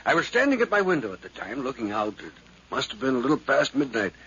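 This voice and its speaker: A prim, concerned older neighbor in a 1950s radio play. His very husky voice is lively in pitch and politely earnest. The recording is dull and muffled. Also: fast talker, heavily acted. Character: proper, concerned older male neighbor reporting suspicions. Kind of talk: 1950s radio drama acting.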